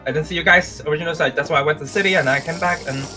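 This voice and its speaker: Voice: mid-range voice, racing, bright, forceful tone, close to an everyday voice. A performer voicing a flustered, guilty young magic apprentice in an improvised game roleplay, stammering and hesitant, with music behind. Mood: flustered, defensive. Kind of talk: improvised Minecraft roleplay acting.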